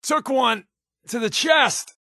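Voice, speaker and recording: An actor playing a wisecracking undercover agent and loyal sidekick in a video game, with an American accent and a breezy, sarcastic way of talking. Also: male voice, very high-pitched, takes it slowly, pushed and bright, no room sound, raised voice, clean studio sound, full character voice. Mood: hurt, strained. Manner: sarcastic, breezy, casual quips. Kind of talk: game companion voice lines.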